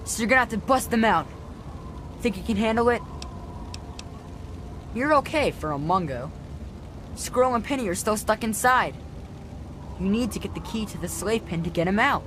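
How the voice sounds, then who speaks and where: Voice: mid-range voice, lively intonation, normal speed, long pauses, background noise, natural voice. An actor voicing a blunt, slangy, streetwise kid escaping captivity in a video game. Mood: urgent, blunt. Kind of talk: video game character acting.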